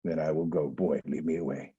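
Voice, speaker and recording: A grim blind old prophet. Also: male voice, extremely low pitch, fairly even intonation, brisk, dark tone, slightly rough. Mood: cutting retort. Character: blind old male prophet, stern and foreboding. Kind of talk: Zoom dramatic reading of a Greek tragedy. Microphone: video call. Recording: dull lo-fi video call audio.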